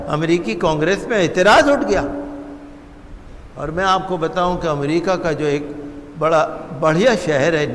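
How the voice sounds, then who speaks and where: A man's voice: on the high side, fairly bright tone. A man preaching as an imam, in an impassioned, oratorical way full of repetition and rhetorical questions. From India. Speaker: imam giving a sermon. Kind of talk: impassioned sermon on public affairs. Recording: long hall reverb.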